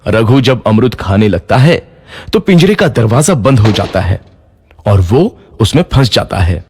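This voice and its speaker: A man narrating a children's cartoon story in a lively, expressive way. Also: lowish voice, a little slow, slight background noise.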